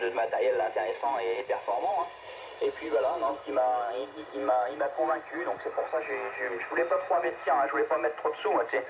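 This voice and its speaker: A man's voice: mid-range voice, gravelly. A middle-aged man talking casually over two-way radio, hesitant and often repeating his words. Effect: two-way radio transmission. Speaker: amateur radio operator. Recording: noisy, narrow-band two-way radio signal.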